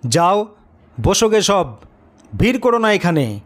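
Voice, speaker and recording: A stern schoolmaster, played by a male storyteller speaks to his class with a raised pitch. He scolds and questions his pupils with brisk authority and curiosity, in an audio-story manner. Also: sing-song, exaggerated voice.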